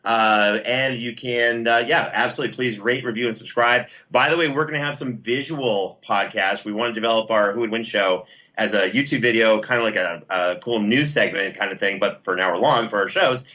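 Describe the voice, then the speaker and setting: A man's voice: fairly low pitch, fairly quick, bright, forceful tone, lo-fi sound. A man chatting casually as an interview guest, enthusiastic and effusive about what he talks about. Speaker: podcast producer and guest.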